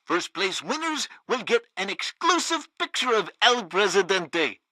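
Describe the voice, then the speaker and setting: Bumbling male state-radio announcer, piercingly bright, dry close mic, pompous and excitable comic game delivery. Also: high-pitched, measured pace, no echo, full character voice. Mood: proud. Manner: excitable, theatrical. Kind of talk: comic propaganda radio broadcast acting.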